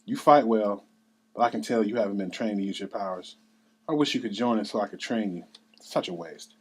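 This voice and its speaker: A man's voice: on the low side, a little fast, husky. A man voicing a cold, contemptuous villain swordsman at a table read of a drama script. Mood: condescending.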